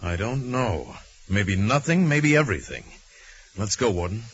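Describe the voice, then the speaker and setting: Low-voiced calm radio detective hero. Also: male voice, measured pace, soft background music. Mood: grave. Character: calm, shrewd male detective hero, commanding and methodical. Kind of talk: 1940s radio drama acting. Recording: muffled hissy old radio recording.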